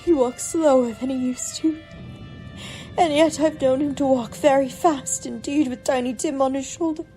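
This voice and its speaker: A woman playing a tender, grieving mother of a poor family in a radio drama, speaking with drawn-out sorrow. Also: high-pitched, steady tone, dark tone, soft background music, close to an everyday voice. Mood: subdued sorrow. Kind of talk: theatrical radio drama acting.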